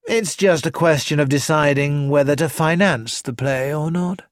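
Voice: mid-range voice, normal speed, no room sound, close to an everyday voice. A narrator voicing a composed, pleasant young man who talks unhurriedly, in a dry studio recording. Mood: calm.

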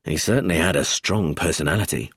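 A man voicing an abrupt, measured police chief inspector in an audiobook. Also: deep voice, fairly bright tone, breathy edge, dry recording, studio quality, pitched below the performer's own voice, exaggerated voice. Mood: calm. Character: calm, brisk male police chief inspector.

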